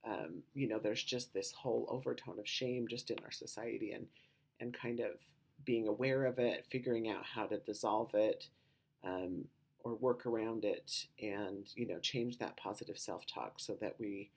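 A woman with a low voice hosting a podcast, talking in a rambling, casual way with lots of "you know" and "um" asides. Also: moderate pace, a little mellow, husky. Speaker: podcast host.

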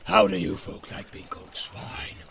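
A man voicing a soft-spoken, sly and evil male orc messenger who sneers in a hissing whisper. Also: moderate pace, close to an everyday voice. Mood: scheming. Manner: sneering, menacing. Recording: loud background hiss.

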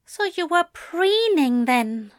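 Woman voicing a prim, high-pitched wounded male angel. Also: sing-song, measured pace, a bit bright, no echo, clean studio sound, exaggerated voice. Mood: frosty, hurt. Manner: huffy and frosty. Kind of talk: fan fiction audiobook character voice.

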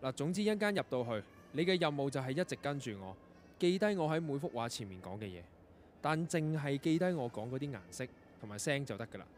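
An actor in a Cantonese radio drama playing a tormented young composer, curt and dramatic, with a Hong Kong accent. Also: male voice, mid-range voice, animated, fast, a little hiss or hum, close to an everyday voice. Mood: curt, impatient. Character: tormented young male composer, curt, anguished, losing control of his ears.

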